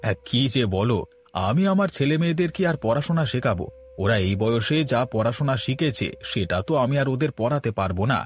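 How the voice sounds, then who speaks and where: A man's voice: medium pitch, animated, quick pace, close to an everyday voice. Man acting a kind, honest shopkeeper. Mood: welcoming, reassuring. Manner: welcoming, earnest, explanatory. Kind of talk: Bengali moral-story cartoon dubbing.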